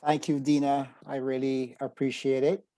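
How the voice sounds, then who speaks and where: A man with a Barbadian accent, a psychotherapist giving a measured, formal webinar talk on a video call. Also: mid-range voice, flat delivery, warm tone, no echo. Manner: measured, formal phrasing with frequent fillers. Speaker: psychotherapist presenter. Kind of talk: webinar presentation.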